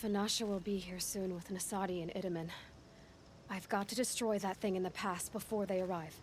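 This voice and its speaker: An actress playing a determined young huntress in a video game, speaking plainly and asking direct questions. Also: female voice, mid-range voice, steady tone, fairly quick, a little mellow, slight background noise, natural voice. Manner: plain, direct, matter-of-fact. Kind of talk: video game cutscene dialogue acting.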